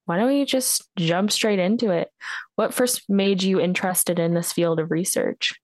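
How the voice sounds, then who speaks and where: A woman hosts a podcast interview. She reads a prepared introduction in a measured, formal way. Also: fairly low pitch, no echo. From Canada.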